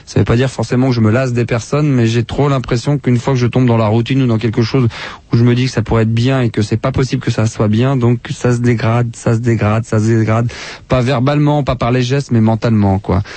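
A man hosting a radio show, talking through a hesitant personal monologue with many pauses. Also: mid-range voice, fairly even intonation, dark tone, no room sound. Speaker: radio host. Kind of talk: confessional monologue.

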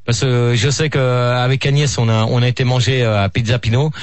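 A man's voice: mid-range voice, flat delivery, a little fast, dry recording. Man, casual radio banter, stumbling and repeating himself, dry close sound. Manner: flustered, repeats himself, many hesitations. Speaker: radio show guest celebrating his birthday.

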